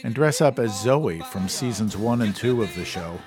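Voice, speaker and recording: A man hosting a radio show, reading scripted introductions in a measured, even way. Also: lowish voice. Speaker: radio host. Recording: narration over clear music bed.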